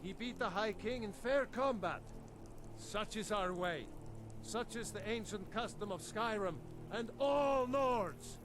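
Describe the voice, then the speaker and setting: An actor playing a proud, defiant condemned warrior making declamatory speeches in a fantasy game. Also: male voice, high-pitched, measured pace. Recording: noisy outdoor game ambience.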